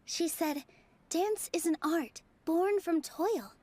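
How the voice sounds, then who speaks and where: A woman voice-acting a gentle, earnest young dancer in a video game, speaking softly and sincerely. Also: extremely high pitch, slow. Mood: earnest, reminiscing. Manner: soft, sincere, reflective storytelling. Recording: game dialogue over clear music.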